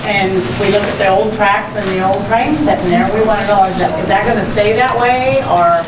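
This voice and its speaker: A woman's voice: mid-range voice, brisk, recorded from a distance. A woman resident making a plain-spoken complaint during public comment at a council meeting. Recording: echoey room, off mic, loud noise.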